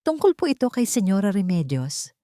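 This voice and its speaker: A woman's voice: mid-range voice, big pitch swings, a little fast, dark tone, no room sound, clean studio sound, lightly acted. A woman voicing a timid young housemaid in a storybook drama, hesitant and deferential. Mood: anxious, earnest. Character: timid, respectful young housemaid, anxious and earnest.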